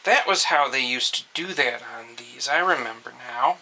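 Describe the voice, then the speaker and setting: A man's voice: medium pitch, lively intonation, moderate pace, bright, forceful tone, a little hiss or hum, rough recording. A man casually demonstrating a hands-on repair, thinking aloud as he works. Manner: thinking aloud while working. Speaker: host of an antique radio repair video.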